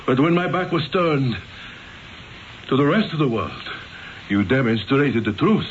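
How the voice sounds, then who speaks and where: An actor in an old-time radio drama playing a jealous, brooding husband, sardonic and menacing, halting and repeating words for emphasis. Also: male voice, mid-range voice, animated, close to an everyday voice. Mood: bitter, accusing. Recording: muffled, hissy old radio broadcast.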